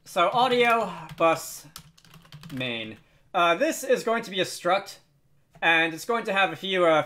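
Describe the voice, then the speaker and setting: A man hosts an unscripted software tutorial in a fairly high, bright voice. He speaks close to the mic, feeling his way through sentences and correcting himself as he demonstrates on his computer. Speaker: tutorial video host.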